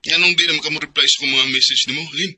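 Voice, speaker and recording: An actor playing a wounded, indignant young boyfriend in a radio drama, with a Cebuano Filipino accent and a husky voice. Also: male voice, a little high, steady tone, fast, music in the background, close to an everyday voice. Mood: hurt, indignant. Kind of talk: Bisaya radio drama acting.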